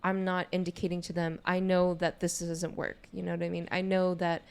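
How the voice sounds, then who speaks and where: Young woman with a low-pitched voice. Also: flat delivery, brisk, gravelly, clean tone. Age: young adult. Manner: candid, informal, frequent "you know". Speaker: podcast co-host. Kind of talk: casual conversational podcast chat.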